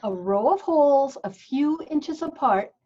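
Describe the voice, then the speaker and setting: A woman's voice: high voice, animated, a little slow, mellow. A woman playing an earthy, zealous religious sister in a comic table read, telling things with rapturous emphasis. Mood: rapturous, earnest. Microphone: video call. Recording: dull, lo-fi online call audio.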